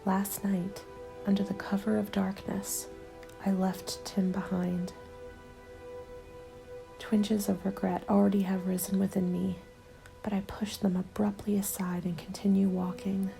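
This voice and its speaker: Slow, whispering woman narrating an ASMR story. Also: mid-range voice, completely flat delivery. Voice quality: soft, breathy, mostly whispered. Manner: slow, hushed, deliberate storytelling. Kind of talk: ASMR scripted first-person story narration. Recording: soft music under the voice. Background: instrumental music.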